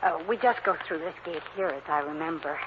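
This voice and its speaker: An actress playing a polite, uneasy office worker in an old-time radio drama. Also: female voice, low-pitched, a little fast, natural voice. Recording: dull, noisy old radio recording.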